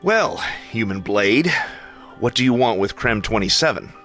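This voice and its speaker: A man's voice: on the low side, lively intonation, moderate pace, fairly bright tone, faint music behind. A man playing a guarded, terse male superhero in an audio drama, formal and tense in manner. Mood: guarded, demanding.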